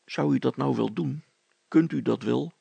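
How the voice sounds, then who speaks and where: A man's voice: medium pitch, normal speed, creaky, dark tone, husky, dry recording, dull audio. A man voicing an easygoing, helpful village man in a storybook reading, talking plainly and familiarly. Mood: concerned, helpful.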